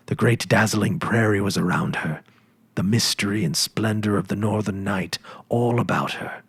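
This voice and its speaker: A man narrating a classic story as a measured, dramatic reading. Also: on the low side, creaky, husky, no echo.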